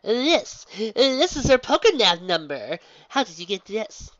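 A woman's voice: a little high, sing-song, measured pace, fairly bright tone, no room sound, pitched above the performer's own voice. A woman voicing a wry, guarded female pony gym leader who is easily worried. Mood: wary. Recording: dull, dry lo-fi recording.

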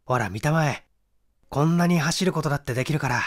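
A man's voice: a little high, quick pace, no echo, clean studio sound, lightly acted. A man voicing an eager, self-assured young amateur detective in a storybook reading, confident and explanatory. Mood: cheerful.

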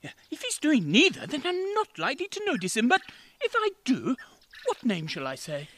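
A woman acts out a merry, distracted old gentleman for a radio drama. The voice is high and swoops dramatically, quaint and hesitant. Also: huge pitch swings, normal speed, a little hiss or hum, heavily acted. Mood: whimsical. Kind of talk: radio play acting.

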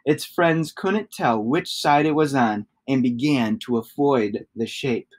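Male storybook reader, thirties, middle register, expressive read-aloud for young listeners. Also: mid-range voice, slow.

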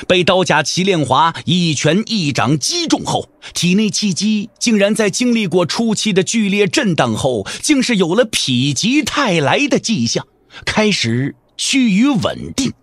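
A man's voice: high voice, very animated, a little slow, no room sound. A man giving dramatic scripted narration for a martial arts audio drama. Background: background music.

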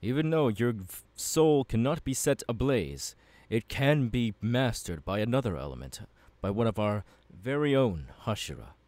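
A man's voice: medium pitch, sing-song, moderate pace, hardly any pauses, darkish tone, no room sound, close to an everyday voice. A man playing a passionate, courteous young warrior mentor in an ASMR audio roleplay, speaking in an earnest, formal and encouraging way. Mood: reassuring.

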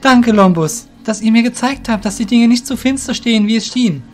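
A man's voice: very high-pitched, fairly quick, soft background music, pitched above the performer's own voice. A man voicing a young apprentice boy, curious and trusting, in an audio drama. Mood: seeking reassurance. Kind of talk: hobby audio drama character acting.

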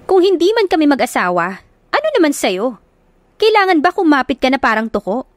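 A woman voicing a feisty young woman who talks back in a sharp-tongued, defiant way. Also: high voice, big pitch swings, a little fast, dry recording, clean studio sound, pitched above the performer's own voice.

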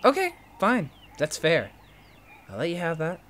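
A man playing an impulsive, curious friend in an audio drama, conversational and quick to get defensive. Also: fairly high pitch, slight background noise, full character voice. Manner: animated protests, inquisitive, defensive. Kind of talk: naturalistic audio drama dialogue.

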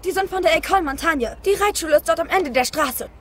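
A woman playing a bright, earnest young girl in the style of an animated film. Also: extremely high pitch, fast talker, background noise. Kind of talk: animated film dub acting.

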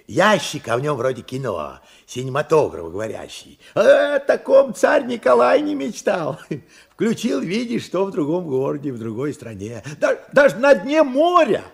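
Husky, animated old working father. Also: on the high side, exaggerated voice. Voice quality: husky, creaky voice. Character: old working-class father, earnest and proud. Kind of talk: Soviet radio play acting.

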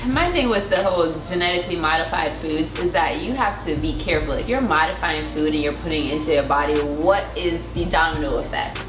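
A woman in her thirties with a Jamaican accent, a guest talking earnestly and laughing easily in a casual chat. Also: low voice. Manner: earnest, quick to laugh, agreeable. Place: indoor studio set with couch. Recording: roomy studio with music behind.

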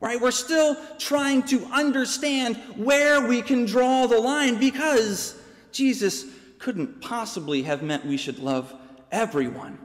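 A young American man, a pastor, preaching a sermon from a pulpit with measured, deliberate pauses. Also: extremely high pitch. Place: large church sanctuary, preaching from a pulpit. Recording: long church reverb.

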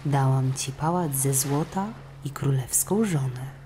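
Calm magical golden fish voiced by a woman. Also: a bass voice, unhurried, a little hiss or hum. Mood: reassuring. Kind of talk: children's storybook acting.

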